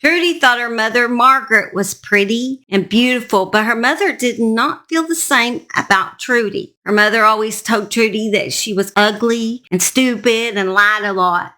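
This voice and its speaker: A woman's voice: fairly high pitch, pushed and bright. A woman with a Southern US accent, earnestly narrating true crime stories as an online host. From Southern United States. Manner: earnest, serious.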